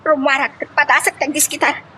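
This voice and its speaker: A woman's voice: sits high, little pitch movement, a little fast, sharp tone. An actress playing a scornful, bitter woman who nags and confronts her lover in an Ilocano melodrama. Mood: bitter, annoyed. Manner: nagging, confrontational. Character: scornful, bitter female lover named Monica, demanding and fiery. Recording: faint background noise.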